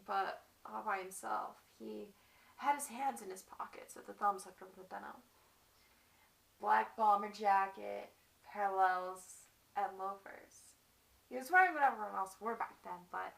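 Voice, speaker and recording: A young woman performing a dramatic monologue as a lovestruck battered wife, halting and confessional, on a distant mic. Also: mid-range voice, vocal fry, a bit bright. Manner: halting, confessional, repeats phrases. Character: lovestruck, battered wife recalling her violent husband.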